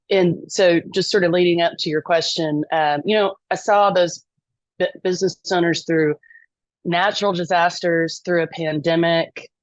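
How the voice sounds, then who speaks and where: A woman's voice: lowish voice, no room sound, highs cut off. A middle-aged woman from East Texas with a Texan accent, talking as a podcast guest, chatty and self-deprecating with frequent ums. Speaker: lobbyist guest. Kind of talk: podcast interview.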